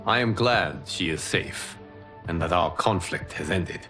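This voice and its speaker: An actor playing a humble former god in a video game, speaking in a formal, measured, earnest way. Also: male voice, low voice, music in the background. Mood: earnest, solemn. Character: solemn, humble former god and protector of Earth. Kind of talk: dramatic video game cutscene acting.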